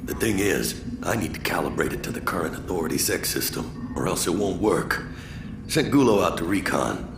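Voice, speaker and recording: An actor playing a gruff, weary resistance marshal in a video game, blunt and wry. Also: male voice, sits low, animated, moderate pace, reverberant, over music. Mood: worried.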